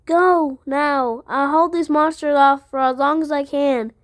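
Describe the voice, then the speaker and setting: A woman's voice: high voice, fairly even intonation, unhurried, dry recording, studio quality. A woman voicing a weary, earnest female cartoon rabbit in an amateur game cutscene. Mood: resigned, urgent. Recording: music behind the voice. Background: music.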